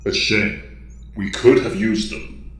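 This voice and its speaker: A grave hero-team leader acted by a man in an audio drama, speaking slowly and formally. His stoic, clipped lines ring in a very roomy echo. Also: on the low side, steady tone. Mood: grave, restrained.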